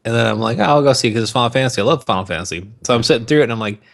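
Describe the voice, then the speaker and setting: A man with an average-pitched voice co-hosts a casual podcast. He chats about films in a jokey, crude way, full of slang. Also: rapid-fire, creaky. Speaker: podcast co-host.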